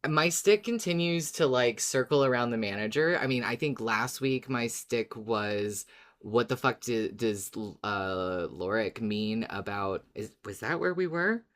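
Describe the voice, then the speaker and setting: A co-host on a casual fan podcast speaks in a medium-pitched voice. The delivery is informal and wry, working through theories tentatively and swearing freely. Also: animated, unhurried, a bit bright.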